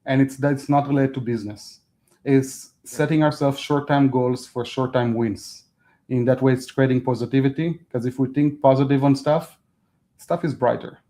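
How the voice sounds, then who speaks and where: A man whose first language is Hebrew, a guest talking casually in an interview, halting and restarting as he speaks in non-native phrasing. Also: medium pitch, steady tone, warm tone. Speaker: podcast guest.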